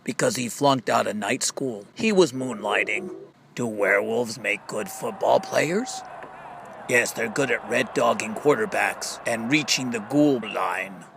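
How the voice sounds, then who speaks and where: A man's voice: on the low side, unhurried, creaky, background noise. A man reading scripted question-and-answer jokes and riddles as an audiobook narrator, playfully setting up each question and drawing out the punchlines. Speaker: audiobook reader.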